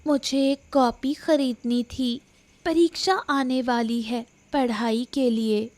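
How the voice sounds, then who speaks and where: A woman's voice: sits high, steady tone, takes it slowly, darkish tone, no echo. A woman voicing a stubborn village schoolgirl in a cartoon story, pleading plaintively. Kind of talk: Hindi cartoon story voice acting.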